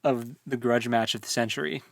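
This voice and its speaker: A man's voice: mid-range voice, fairly even intonation, a little fast, creaky, no echo, clean studio sound. A man chatting casually as a podcast co-host, with a colloquial, slangy, jokey way of talking. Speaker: co-host of a book discussion podcast. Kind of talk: casual chat recapping and reviewing a fantasy novel.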